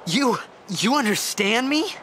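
A young man playing a brash, earnest teenage athlete in a video game, with an eager, expressive delivery. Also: very high voice, takes it slowly, a little hiss or hum, full character voice. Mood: eager, cooperative. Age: young adult.

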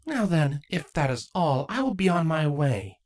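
A man's voice: on the high side, big pitch swings, moderate pace, a little mellow, voice pushed up. A man voicing a stern, protective changeling queen, formal, curt and wary, in a dramatic fan fiction reading. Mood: cool disdain.

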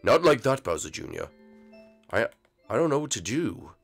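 A man's voice: mid-range voice, sing-song, moderate pace, husky, soft background music. A man voicing a conflicted villain father monster in a cartoon-style story, hesitant and stammering. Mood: conflicted, rattled.

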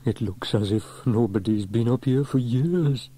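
A man's voice: mid-range voice, a little slow, warm tone, slightly rough. A man voicing a nervous firefighter who fears heights in a children's storybook, anxious and groaning. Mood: shaken. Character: nervous, gulping male firefighter afraid of heights.

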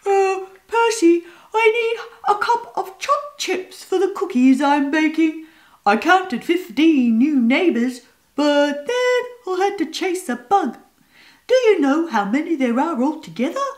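A woman's voice: very high-pitched, lively intonation, unhurried, pitched above the performer's own voice. Woman doing a scatterbrained tomcat. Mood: distracted. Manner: distracted, rambling. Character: scatterbrained fluffy house cat, male. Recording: roomy echo.

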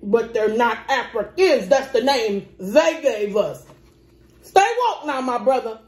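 A woman's voice: a little high, expressive, takes it slowly. Sassy drawling Southern woman. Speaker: mukbang co-host. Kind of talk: casual banter while eating. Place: home kitchen table. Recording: echoey kitchen.

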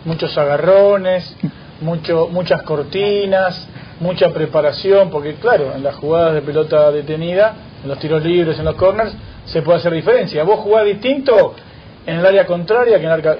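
Emphatic male panelist, raised pitch, muffled broadcast. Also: normal speed. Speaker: radio panelist. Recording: muffled radio broadcast with faint noise.

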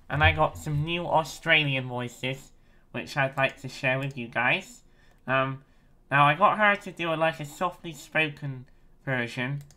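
A young man in his twenties giving an excitable, casual video update from his bedroom on a webcam. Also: fairly high pitch. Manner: excitable, chatty, frequent giggles. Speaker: game developer and streamer. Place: small bedroom, indoors. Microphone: webcam at desk.

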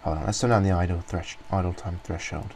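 A man casually talking through a software demo, rambling with many fillers and restarts. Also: deep voice, steady tone, fairly quick, vocal fry, slight background noise. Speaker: software reviewer. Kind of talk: informal screen-recorded walkthrough.

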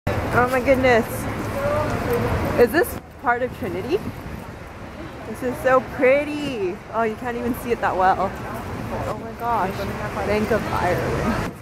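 A young woman chats casually and excitably into a handheld camera as a travel vlogger, rambling and giggly. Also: fairly high pitch, a little slow, pauses often. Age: young adult. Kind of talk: casual handheld vlog chat. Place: out in a city at night, on buses and streets. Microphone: handheld camera at arm's length. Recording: loud street and vehicle noise.

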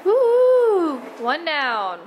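A woman's voice: very high-pitched, wildly swooping pitch, very slow, muffled recording, loud music behind the voice. A young woman in her twenties talking casually and upbeat to camera while cleaning her apartment, with frequent "like"s. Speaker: lifestyle vlogger. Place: apartment kitchen and bathroom.

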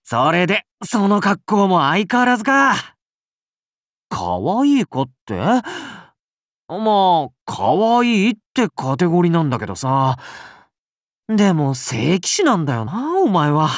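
A man's voice: high voice, sing-song, unhurried, no room sound, studio quality. An actor playing a friendly young paladin king in a game, casual and bantering like a cool older brother. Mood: breezy, friendly. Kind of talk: scripted game character dialogue.

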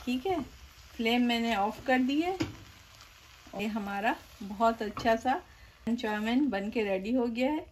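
Woman host, fairly high voice, calm step-by-step kitchen instructions, frying noise behind. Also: unhurried, pauses often, poor audio. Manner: patient, repeats steps, addresses viewers directly. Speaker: cooking show host. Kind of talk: step-by-step cooking demonstration. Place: home kitchen, voice over stovetop sizzling. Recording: audible frying and stirring noise.

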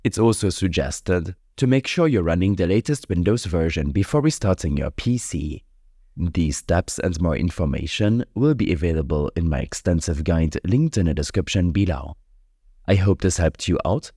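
A man reading a scripted tutorial voice-over in a measured, instructional way, close on the microphone. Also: low-pitched, expressive, mellow, husky, no room sound. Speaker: tutorial host.

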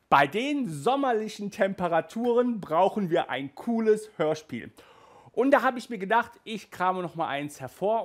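A man in his thirties with a fairly high voice, hosting a casual review from a small room. He talks in a lively, restless way, stumbling and restarting, and sounds hot and flustered by the heat. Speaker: audio drama reviewer, host. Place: small indoor room with toy shelves.

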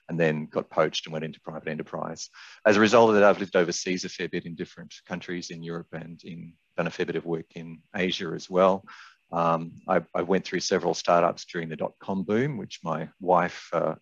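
An Australian man in his fifties presents conversationally with a very low, gravelly voice. He drops wry, self-deprecating asides as he goes. The video-call audio sounds roomy. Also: lo-fi sound. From Brisbane, Australia. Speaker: webinar presenter, sales director.

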